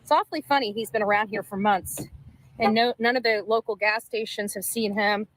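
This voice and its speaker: A woman's voice: mid-range voice, steady tone, fairly quick, low-quality recording. A woman giving casual on-location updates to viewers as an amateur investigator.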